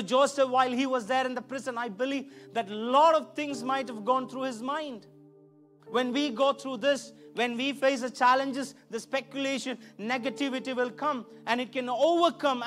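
A man's voice: very high voice, a bit bright. A middle-aged man preaching a church sermon into a handheld microphone, emphatic and repeating phrases to drive them home. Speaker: pastor preaching. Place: church stage, indoors.

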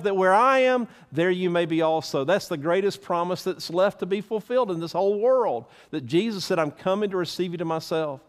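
A middle-aged man preaching from a church stage, fervent and emphatic, wearing a headset mic. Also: on the high side. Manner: fervent preaching with rising emphasis, stands and gestures. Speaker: pastor. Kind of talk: sermon and closing prayer.

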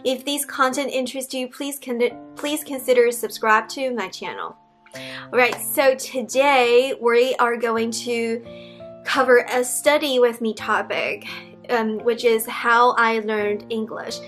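A woman's voice: on the high side, steady tone, unhurried, fairly bright tone, soft background music. A young woman from Beijing with a Mandarin Chinese accent, talking casually to camera as an English teacher, explaining in a friendly way with frequent ums and restarts, recorded in a small indoor room. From Beijing, China. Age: young adult. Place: small indoor room with wood-panelled wall.

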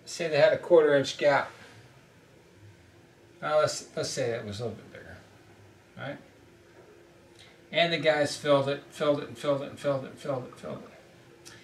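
A man's voice: a little high, moderate pace, long pauses, recorded from a distance. A middle-aged man teaching ship fitting at a whiteboard, blunt and emphatic, repeating points as he talks through the steps. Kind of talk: whiteboard welding lesson. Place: small indoor room at a whiteboard. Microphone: camera mic from a few feet away. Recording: roomy echo, voice off-mic.

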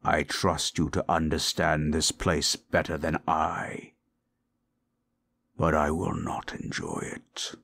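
A man voicing a proud, possessive forest monster in human shape, gravelly and husky, speaking in stilted, imperious phrases for an audio roleplay. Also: extremely low pitch, level tone, a bit bright, heavily acted. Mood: possessive, commanding. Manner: slow, imperious, formal. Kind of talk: dramatic audio roleplay, stilted formal speech.

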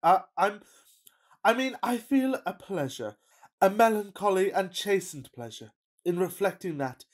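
An actor playing an impulsive, fickle gentleman suitor, effusive and florid, in a Victorian radio farce. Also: high voice, lively intonation, unhurried. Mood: effusive wooing. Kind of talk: farcical Victorian radio play acting.

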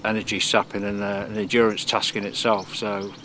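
A man's voice: fairly low pitch, level tone, fairly quick, sharp tone. A man in his forties, a serviceman and charity cyclist, talking hesitantly in an outdoor interview at an airbase. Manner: hesitant, fillers and restarts. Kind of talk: on-camera interview. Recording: outdoor wind and traffic noise.

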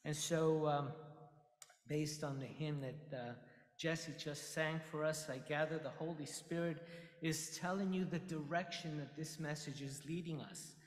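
A man's voice: a little high, fairly even intonation. Ecuadorian-born man preaching in an echoey church. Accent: Spanish. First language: Spanish. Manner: earnest, halting with many fillers. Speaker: lay preacher. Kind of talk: sermon. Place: large church sanctuary. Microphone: podium microphone. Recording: echoey hall with long reverb.

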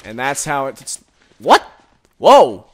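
A man giving casual, rambling commentary while playing a game, recorded on a headset and reacting loudly to the action. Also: medium pitch, wildly swooping pitch, slow pace. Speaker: gaming commentator.

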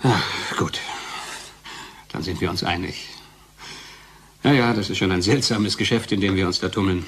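An actor playing a pragmatic, calculating company colleague in a German radio drama, with a cajoling, conversational manner. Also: male voice, sits low, moderate pace, long pauses, a bit bright, slight background noise. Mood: relieved, affable. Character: pragmatic, persuasive male pharmaceutical company colleague, smoothly calculating.

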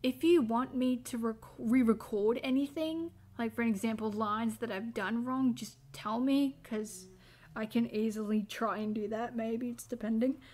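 A woman's voice: on the high side, steady tone, moderate pace, a little mellow. A woman, an amateur voice actor, chatting casually and a little hesitantly between takes.

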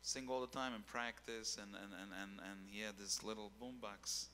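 Young man, singer as guest, bright tone, rambling delivery, handheld mic in a reverberant hall. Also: on the low side, steady tone, normal speed, a little hiss or hum. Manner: rambling, repeats words while searching. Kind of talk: casual interview on stage. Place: dark stage with keyboard.

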